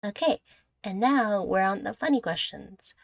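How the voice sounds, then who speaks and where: A woman's voice: mid-range voice, expressive, unhurried, vocal fry, dry recording. A young adult woman chatting casually through viewer questions in a rambling, giggly, self-deprecating way, close to the microphone. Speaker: content creator answering viewer questions. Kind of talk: casual Q&A chat.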